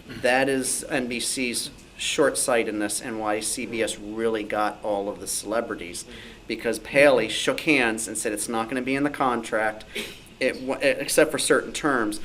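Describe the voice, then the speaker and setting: A man's voice: mid-range voice, fairly bright tone, rough recording. Man explaining in detail, faint noise. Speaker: club discussion participant. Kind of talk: informal anecdotal explanation.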